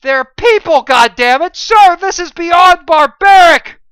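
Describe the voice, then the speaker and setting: A woman voicing a desperate young male lieutenant who shouts and begs frantically. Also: fairly high pitch, very slow, vocal fry, clean tone, no echo, pitched above the performer's own voice, heavily acted. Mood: screaming.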